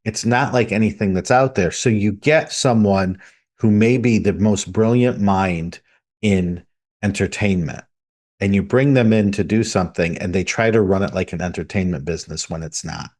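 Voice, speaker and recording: A man co-hosting a podcast, speaking in a measured, reflective way. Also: fairly low pitch, darkish tone. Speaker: podcast co-host. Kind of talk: casual discussion.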